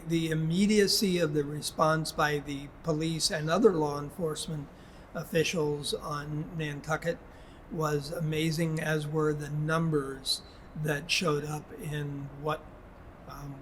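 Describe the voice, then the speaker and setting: Man, slightly high-pitched, school official, formal public statement, measured and halting, faint background noise. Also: fairly bright tone, poor audio. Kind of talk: public address, prepared statement spoken haltingly.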